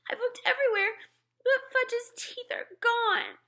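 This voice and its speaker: A woman's voice: very high-pitched, measured pace, sharp tone, pitched above the performer's own voice, heavily acted. A woman voicing a bossy, dramatic, plaintive schoolgirl in a children's storybook. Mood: distressed. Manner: plaintive, exclaiming. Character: bossy, dramatic, shrill schoolgirl, easily upset.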